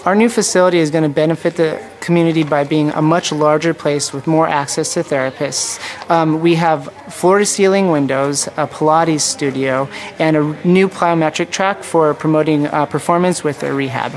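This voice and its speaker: A man's voice: fairly high pitch, fairly even intonation, normal speed, few pauses, a little hiss or hum. An American man in his thirties presenting a clinic as its therapy manager in a promotional interview. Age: 30s. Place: large indoor therapy clinic.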